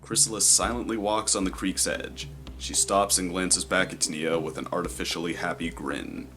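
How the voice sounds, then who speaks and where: A man's voice: deep voice, steady tone, normal speed, over music. A man giving scripted narration of the action in an audio drama, as its narrator.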